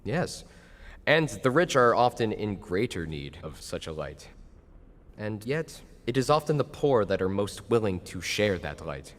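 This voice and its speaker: A man playing a solemn, kindly spirit in an audio drama, speaking in a measured, grave, moralizing way. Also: lowish voice, sing-song. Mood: earnest. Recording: long echoing reverb.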